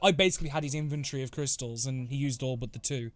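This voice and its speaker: Guy game mastering a tabletop rpg session, medium pitched voice, narrating and calling rolls. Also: quick pace. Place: online group game session.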